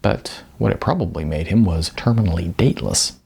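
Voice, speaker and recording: A man's voice: low voice, a little fast, vocal fry, a little mellow, slightly rough. A man reading his own novel aloud in audiobook style, with a wry manner. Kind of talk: audiobook-style narration of fiction.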